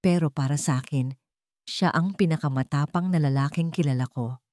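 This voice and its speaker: A woman's voice: low voice, moderate pace, darkish tone, no echo, clean studio sound. A woman narrating a scripted first-person story in a dramatic style. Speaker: audiobook narrator.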